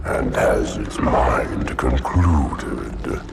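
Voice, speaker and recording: Colossal ancient monster, extremely gravelly, ponderous, imperious, cryptic video game creature, loud music behind. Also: male voice, extremely low pitch, animated, exaggerated voice. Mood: menacing, grandiose. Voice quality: cavernous gravelly growl. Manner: slow, declaiming in measured verse. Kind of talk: video game cutscene acting.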